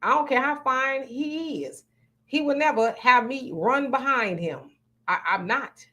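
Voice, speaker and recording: Chatty woman livestream host, higher voice. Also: a little slow, bright, forceful tone. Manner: chatty, frank, playful. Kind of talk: casual chat reading viewer comments.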